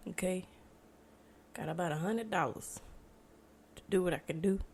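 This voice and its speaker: A young American woman with a dark, mellow voice sitting a little low. She talks casually and reflectively, as a podcast host chatting alone to listeners. There is some noise behind her. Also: moderate pace. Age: 20s. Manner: informal, reflective, conversational. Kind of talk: casual solo podcast monologue. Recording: noticeable background noise.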